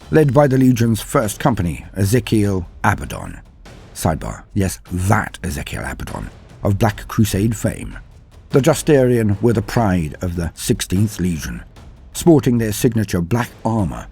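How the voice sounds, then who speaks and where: Male lore narrator, theatrical and florid, deep husky voice with lively swings in pitch. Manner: theatrical, florid wording, self-deprecating. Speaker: lore channel host and narrator. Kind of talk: scripted lore narration with chatty asides. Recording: music under the voice. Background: background music.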